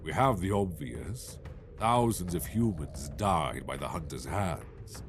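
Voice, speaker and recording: Tribunal judge, male actor: low-voiced, contemptuous, expressive swings, faint music, fantasy audio drama. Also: slow, full character voice. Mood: cold. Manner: dismissive, haughty. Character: scornful, cold, severe male judge.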